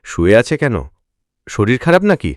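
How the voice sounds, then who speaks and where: A man's voice: medium pitch, sing-song, normal speed, no echo, clean studio sound, close to an everyday voice. A man playing a worried middle-aged village father in a cartoon fairytale. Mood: resigned. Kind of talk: Bengali cartoon fairytale dialogue.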